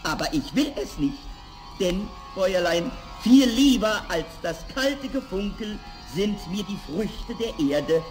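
An actor playing a small shaggy devil in a 1960s fairy-tale radio play, sly, gloating and hot-tempered in a theatrical way. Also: male voice, sits high, expressive, measured pace, echoey room, soft background music, poor audio. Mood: sly, boastful.

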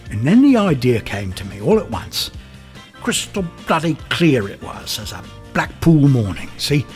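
Wry British murderer, rambling confession. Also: male voice, medium pitch. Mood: cold, wry. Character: bitter, drunken failed photographer confessing murder. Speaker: actor narrating a radio drama. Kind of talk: dramatic first-person confession.

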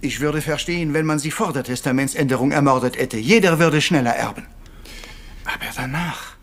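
An actor playing a proud, brooding middle-aged Belgian detective in a retro radio play, formal and courteous, with French phrases mixed in. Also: male voice, a little high, brisk, lightly acted.

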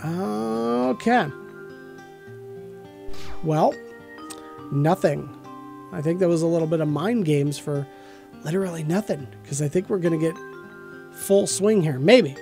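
A man's voice: on the high side, measured pace, gravelly, pauses often, a little mellow. American guy hosting a card game video, casual play by play, music under him. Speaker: host of a card game series. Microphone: close desk microphone.

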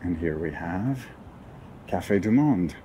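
A man giving a casual walking tour outdoors in a city park, with relaxed, wry asides to viewers. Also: low voice, takes it slowly, slight background noise. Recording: faint outdoor ambience.

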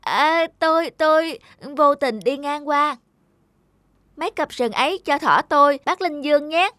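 A woman's voice: sits high, unhurried, bright, forceful tone, dry recording. A woman voicing a small, boastful, envious rabbit in a children's cartoon. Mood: eager. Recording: faint music behind the voice.

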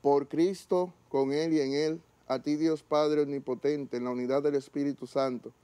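A man in his thirties, a priest, reciting the eucharistic prayer at mass in a measured, solemn way, in a small chapel studio. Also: on the high side, fairly even intonation, mellow, clear, resonant tone.

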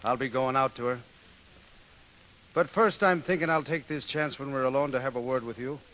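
An actor playing a brash, lovestruck young Irish sailor with an ardent lilt in a 1930s radio play. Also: male voice, mid-range voice, moderate pace, a bit bright, natural voice. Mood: defiant. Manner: ardent, lilting, boastful. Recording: hissy, noisy 1930s radio broadcast.

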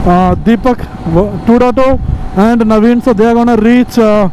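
A young man riding a motorcycle, chatting excitedly to a helmet mic and mixing in Hindi words. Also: very high-pitched, moderate pace, poor audio. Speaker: motovlogger. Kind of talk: helmet-cam ride commentary. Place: on a motorcycle on an open highway. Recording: loud wind and engine noise outdoors.

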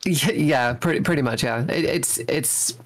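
A man's voice: on the high side, normal speed. A young man, a voice actor guest, talking casually in an interview with lots of ums and restarts. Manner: hesitant, many fillers and restarts.

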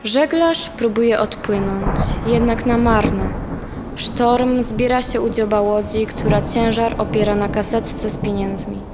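A woman's voice: a little high, steady tone, unhurried. A young woman reading scripted narration as the narrator of a radio play. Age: young adult. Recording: long reverb, music behind. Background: music.

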